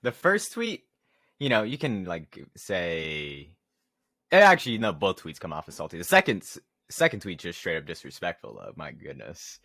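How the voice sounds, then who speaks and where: Deep-voiced man in casual podcast chat. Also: very animated, normal speed, creaky, bright, forceful tone, dry recording. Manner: informal, slangy. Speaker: podcast co-host.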